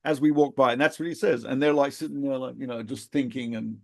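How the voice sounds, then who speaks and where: A middle-aged man who grew up in Australia, co-hosting a podcast and telling lively anecdotes in a casual conversation. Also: medium pitch, quick pace, vocal fry. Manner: animated storyteller, laughs at his own anecdotes. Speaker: podcast co-host, rabbi.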